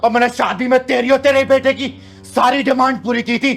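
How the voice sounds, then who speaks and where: A man's voice: very high-pitched, fairly even intonation, a little fast, gravelly, a bit bright, faint music behind, heavily acted. A man acting a fierce, protective kinnar elder who scolds, threatens and boasts in a melodramatic short film. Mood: threatening, stern. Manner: scolding, threatening, boastful, speaks of self by name. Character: Chingari, fierce kinnar (transgender woman) avenger, protective elder.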